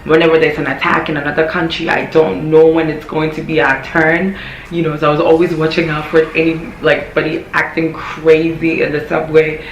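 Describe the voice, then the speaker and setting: A young woman talks to her vlog audience, her voice hoarse and frayed. She is candid and chatty and doubles back on phrases to drive a point home. The sound bounces around an echoey room, with a faint hum of noise underneath. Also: sits low, level tone, normal speed, muffled recording. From Ghana. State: hoarse, voice worn from yelling. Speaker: vlogger. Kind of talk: casual talking-to-camera vlog.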